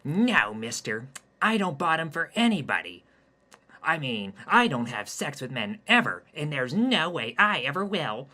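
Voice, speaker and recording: A man voicing a weak, effeminate young man who keeps insisting he is macho, in a whiny, pleading, high voice. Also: sing-song, unhurried, sharp tone, heavily acted. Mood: whiny protest.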